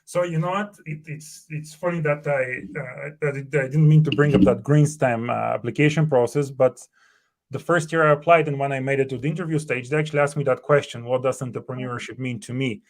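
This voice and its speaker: A man's voice: mid-range voice, fairly even intonation, a little fast. Bulgarian-native man on a video call. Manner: earnest, thinking aloud with many fillers and restarts. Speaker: startup co-founder, guest. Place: home room.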